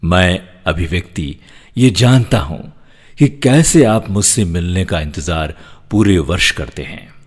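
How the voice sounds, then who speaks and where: A man as husky, poetic festival spirit. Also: low-pitched, animated, unhurried, full character voice. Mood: devoted, tender. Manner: poetic, emotive, declamatory. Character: festival spirit bidding devotees an emotional, poetic farewell.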